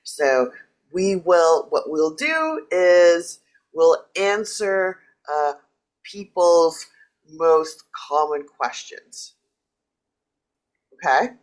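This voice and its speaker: A woman coach with a low voice, whose first language is Spanish. She talks slowly but playfully, and her pitch swings widely. The tone is casual and friendly. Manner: lively, playful, quick jumps in pitch. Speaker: leadership coach. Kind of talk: casual chat.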